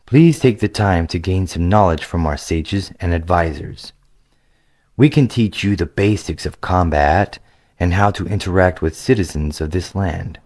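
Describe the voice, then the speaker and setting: A man voicing a courteous, formal half-elf greeter with a measured, grave way of speaking in a storybook reading. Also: sits low, darkish tone, no room sound, studio quality.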